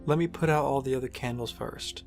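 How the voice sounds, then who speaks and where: A man voicing a caring young stallion who looks after his little sister, speaking gently and soothingly but firmly. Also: mid-range voice, fairly quick, gravelly, faint music behind, close to an everyday voice. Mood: tender. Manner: gentle, soothing, quietly firm. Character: caring young stallion, protective big brother. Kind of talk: fanfic audiobook reading.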